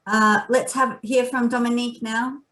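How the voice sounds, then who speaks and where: A woman's voice: on the high side, level tone, normal speed, fairly bright tone, far from the mic, low-quality recording. A woman from Australia with an Australian accent, hosting an online panel in an earnest, heartfelt way. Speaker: panel host. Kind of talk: video panel introduction.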